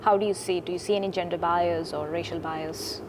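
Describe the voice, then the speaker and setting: A woman hosting an interview, asking questions in a halting way with many fillers. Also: on the low side, steady tone. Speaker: host. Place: studio. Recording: noisy studio background.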